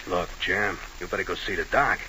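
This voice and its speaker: An actor playing a sly nightclub manager under a gangster, needling with feigned innocence and dry sarcasm in an old-time radio drama. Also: male voice, low-pitched, normal speed, bright, forceful tone, rough recording. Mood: coolly dismissive. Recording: hissy vintage radio recording.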